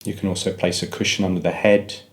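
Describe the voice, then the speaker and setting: A man narrating a guided meditation, giving gentle, measured instructions with pauses between phrases. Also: sits low, flat delivery.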